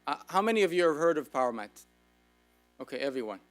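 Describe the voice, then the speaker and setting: A man's voice: on the high side, very animated, fast talker, soft background music. A man with an Israeli accent, lecturing an audience with enthusiasm and asking them questions. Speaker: speaker.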